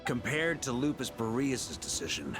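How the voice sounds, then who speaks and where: Man playing a bold, animated knight hero. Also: mid-range voice, normal speed, over music, natural voice. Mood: confident, defiant. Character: bold, wry adult male knight hero. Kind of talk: scripted fantasy game voice acting.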